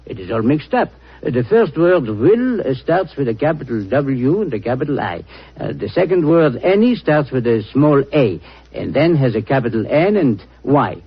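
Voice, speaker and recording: An actor playing an excitable, theatrical French gentleman with a French accent in a 1940s radio drama. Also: medium pitch, moderate pace, slightly rough. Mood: skeptical. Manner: theatrical, hesitant, mercurial. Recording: muffled vintage radio recording.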